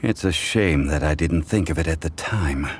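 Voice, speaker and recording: An actor playing an ancient, scholarly god in human guise in a video game, formal, measured and erudite. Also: male voice, extremely low pitch. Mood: wistful, musing. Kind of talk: video game character acting. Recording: music behind the voice.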